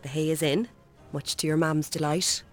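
An actress playing a friendly Irish visiting nurse in a radio drama, reassuring and gently probing, with a lightly teasing manner. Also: female voice, low-pitched, steady tone, moderate pace, faint music behind, natural voice. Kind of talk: naturalistic radio drama acting.

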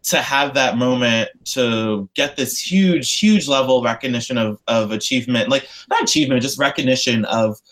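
A man's voice: mid-range voice, moderate pace, few pauses. A young man from the United States, talking about his activist work in an interview, earnest and rambling with lots of fillers and restarts. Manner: earnest and expressive. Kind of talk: casual interview conversation.

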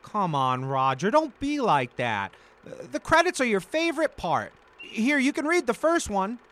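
A man's voice: high voice, very animated, moderate pace, a bit bright. A man playing an affable, placating buddy and announcer in a comic radio play, coaxing as he goes. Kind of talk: comic radio play acting, credits reading.

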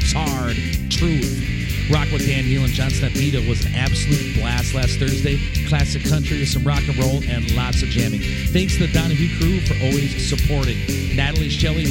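Upbeat man reading a bar ad. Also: mid-range voice. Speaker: ad reader. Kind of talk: read-out bar ad over music. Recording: loud guitar music behind.

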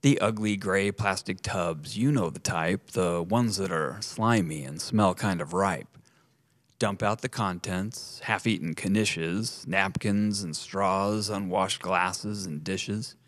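A man voicing a gentle, mild-mannered grown son in a rhyming story, speaking quietly and slowly. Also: sits low, no echo. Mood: calmly instructing. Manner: gentle, unhurried, soft.

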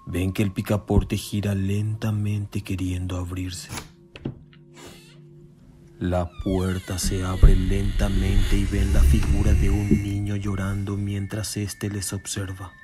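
Male narrator, very deep, flat intonation, suspenseful horror radio narration, prominent music.

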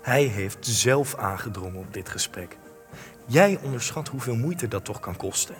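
An actor in a Dutch radio play, playing an earnest, defensive researcher who argues with indignant idealism. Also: male voice, mid-range voice, lively intonation, normal speed, natural voice. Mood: earnest, pleading. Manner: earnest, argumentative. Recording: long reverb, music underneath.

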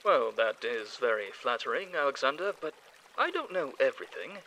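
An actor in an audio drama playing a brisk, testy senior field scientist with a formal manner. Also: male voice, mid-range voice, sing-song, pushed and bright, a little hiss or hum, rough recording. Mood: matter-of-fact.

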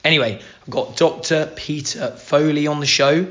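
A male podcast host with a hoarse, croaky voice, talking in an upbeat, informal way. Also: on the high side, steady tone, moderate pace, a bit bright, highs cut off. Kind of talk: casual podcast chat.

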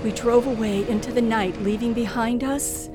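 Actress as high-voiced, frightened radio thriller heroine. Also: female voice, steady tone, normal speed, over music, natural voice. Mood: tense narration. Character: frightened, tense woman traveler narrating her ordeal. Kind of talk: old-time radio thriller acting. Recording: faintly noisy old radio recording.

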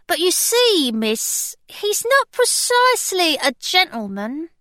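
A timid young parlour maid from a storybook, proper and fretful, her voice high and a touch distant, as a woman narrator puts her on. She speaks in a hushed, polite way with a lively lilt. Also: slow pace, no room sound, clean studio sound, exaggerated voice.

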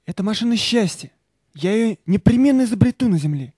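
An actor playing an earnest little boy inventor waiting to be born in a children's audio play, in a husky voice. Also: mid-range voice, animated, fairly quick, warm tone, no echo, studio quality.

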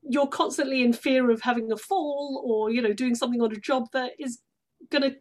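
Female, British accent, middle-aged, higher-pitched voice, effusive conversational interviewee. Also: steady tone, fairly quick, a little mellow. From London, England. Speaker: guest, stage actress.

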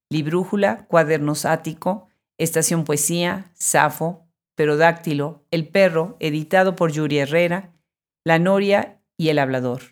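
Low-voiced woman hosting. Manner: formal scripted intro, then friendly chat. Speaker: podcast host. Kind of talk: podcast interview.

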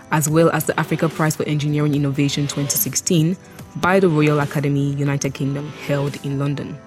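A woman hosting a podcast interview, lively and quick to laugh, who reads out her guest's biography. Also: low-pitched. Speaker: podcast host.

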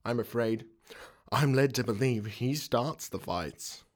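An Australian actor playing a creepy, formal school headmaster in a radio drama, ingratiating and deliberate. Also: male voice, medium pitch, very noisy, natural voice. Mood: grave. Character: creepy middle-aged male school headmaster, smooth-talking, secretive.

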